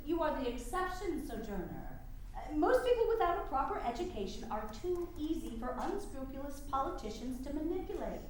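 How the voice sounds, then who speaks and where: Woman acting a high-voiced, eloquent campaigner, echoey. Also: big pitch swings, brisk. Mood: matter-of-fact. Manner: formal, rhetorical. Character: educated, eloquent, sardonic women's rights campaigner. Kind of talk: staged play reading, oratorical. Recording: echoey room, distant mic, faint noise.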